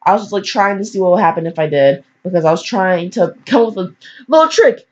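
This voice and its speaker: A woman's voice: medium pitch, animated, fast talker. A teenage girl casually reading aloud and rambling off on goofy, self-deprecating asides. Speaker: fan fiction reader. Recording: dull lo-fi microphone.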